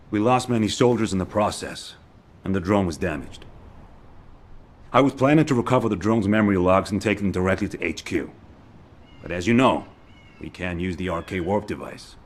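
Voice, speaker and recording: A man playing a battle-worn, frank recon soldier in a video game, speaking in an earnest, blunt way. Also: fairly low pitch. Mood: frustrated, explaining. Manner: earnest, blunt, mildly coarse.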